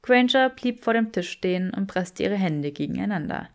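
A woman's voice: medium pitch, animated, moderate pace. A woman reading fiction aloud as an audiobook narrator.

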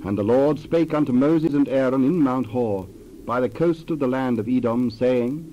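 A man narrating a dramatized scripture reading in a measured, reverent way. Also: mid-range voice, lo-fi sound, slight background noise.

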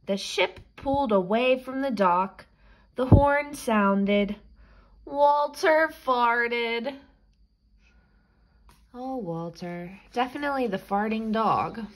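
A woman reading a picture book aloud expressively for children, explaining as she goes like a teacher. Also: on the high side. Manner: slow, animated, explanatory storytelling. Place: indoors, picture book filmed from above.

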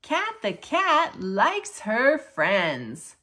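A woman reading children's picture books aloud in an expressive storytelling style. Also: on the high side, extremely slow. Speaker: children's book reader.

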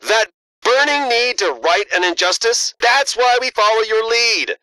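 A man's voice: very high-pitched, measured pace, sharp tone, no echo, exaggerated voice, low-quality recording. A man playing an upbeat, earnest, slightly goofy heroic soldier in a video game, jokey and encouraging. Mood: excited, encouraging.

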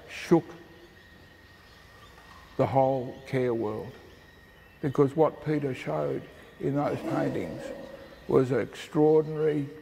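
A man's voice: medium pitch, vocal fry, darkish tone. An older man giving an informal speech as a guest at an event. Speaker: guest speaker. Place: large indoor gallery hall, audience present. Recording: noisy echoing hall.

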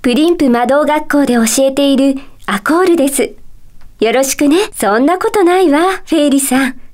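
A woman playing a high-voiced, gentle magic-school teacher. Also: normal speed, natural voice. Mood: courteous, composed. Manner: polite, soft feminine phrasing, composed and kindly. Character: gentle, polite female magic-school teacher with a mysterious calm. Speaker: teacher character in a puzzle game. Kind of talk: Japanese video game character dialogue.

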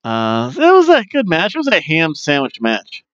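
A man's voice: fairly high pitch, huge pitch swings, moderate pace, clear, resonant tone, no echo, dull audio. A man in his forties casually recapping wrestling matches from a small home room, opinionated and chatty, on a headset microphone. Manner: chatty and opinionated, bursts into loud mock booing. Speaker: wrestling review host. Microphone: headset mic on video webcam.

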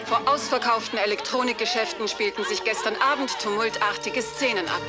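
An actress playing a television news anchor reading a report in a radio play. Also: female voice, a little high, brisk, loud music behind the voice, close to an everyday voice. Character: crisp female TV news anchor. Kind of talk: dubbed radio play acting.

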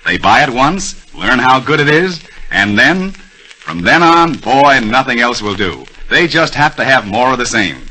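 An American man working as a radio announcer, delivering an emphatic, folksy 1930s advertising pitch on a vintage broadcast. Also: animated, unhurried, bright, forceful tone, low-quality recording.

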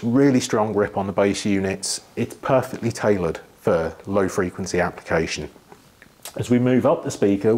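A middle-aged man with a husky voice, giving a technical explanation in an interview, pausing thoughtfully as he phrases things. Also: on the low side, a little mellow. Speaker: loudspeaker engineer being interviewed. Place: living room with wood panelling and large window.

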